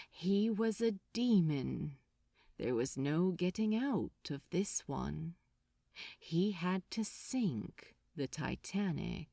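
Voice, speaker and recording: A woman reading a story aloud as a dramatic audiobook narrator, theatrical and deliberate, drawing out key words. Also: medium pitch, a little mellow, no echo, clean studio sound. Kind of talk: dramatic scripted fiction narration.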